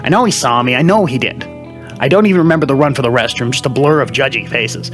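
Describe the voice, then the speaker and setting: A man's voice: mid-range voice, quick pace, over music, natural voice. A man playing a nervous, self-pitying entertainment reporter who stammers and rambles confessionally on a parody TV newscast. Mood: ashamed, despairing.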